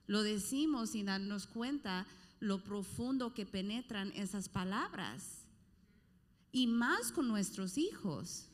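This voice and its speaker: A woman from Costa Rica speaking on a panel, teaching off the cuff and pausing to find words. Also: medium pitch. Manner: reflective, pauses to search for words. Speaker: speaker on a pastoral question panel. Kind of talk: unscripted teaching with personal anecdote. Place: large hall at a conference. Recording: reverberant hall sound.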